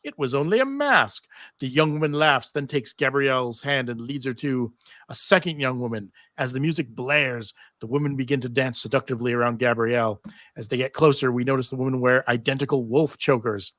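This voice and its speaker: A man's voice: mid-range voice, brisk, fairly bright tone, no room sound, studio quality. A man reading TV script stage directions aloud at a live table read, theatrical with wry asides. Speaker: table read narrator and panel host. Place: live convention panel.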